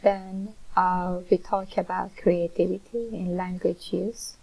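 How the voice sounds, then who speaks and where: Persian-accented woman teaching linguistics, slow and careful, mellow low-lit tone. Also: vocal fry, poor audio. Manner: measured, explanatory, frequent uh fillers. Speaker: linguistics lecturer. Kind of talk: recorded online lecture.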